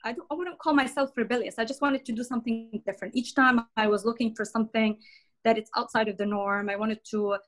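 A middle-aged woman from Jordan, a podcast guest talking through her career in a relaxed interview, pausing often with many fillers and restarts. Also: fairly high pitch. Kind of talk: conversational interview.

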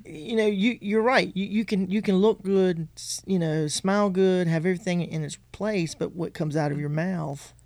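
A man with a noticeably high voice and a lilt chats as a podcast co-host. He tells stories with lively emphasis and backs his partner with firm agreement. The sound is dry and close, with no room around him. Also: normal speed, hardly any pauses, no room sound. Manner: animated storytelling, emphatic agreement.

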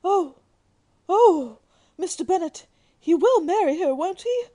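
Very high-pitched fussy, fluttery mother played by an actress. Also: lively intonation, a little slow, warm tone. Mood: distraught wailing. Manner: gushing, fluttery, dramatic. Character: fussy, excitable middle-aged mother. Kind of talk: radio drama acting.

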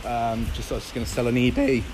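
Hoarse man with a cold, music behind. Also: mid-range voice, steady tone, quick pace, rough recording. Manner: rambling, many fillers and restarts. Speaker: founder of a paddleboard company, guest. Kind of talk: casual interview chat.

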